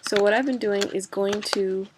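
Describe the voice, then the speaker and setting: A woman's voice: medium pitch, steady tone, moderate pace, noisy background. A woman talking in a casual personal vlog, rambling with many fillers and restarts, a bit stuffy from a cold.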